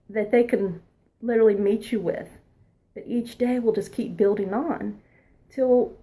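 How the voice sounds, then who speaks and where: A woman past her twenties giving a spiritual oracle card reading, earnest and encouraging, sometimes dropping into a soft whisper. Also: fairly high pitch, breathy edge. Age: adult past her twenties.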